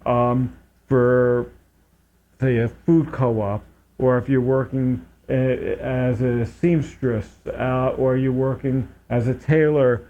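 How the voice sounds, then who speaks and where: A man's voice: medium pitch, flat delivery, takes it slowly, dark tone. A man hosting a local public access talk show, talking casually with many fillers and restarts. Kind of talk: casual interview chat. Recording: dull lo-fi public access audio.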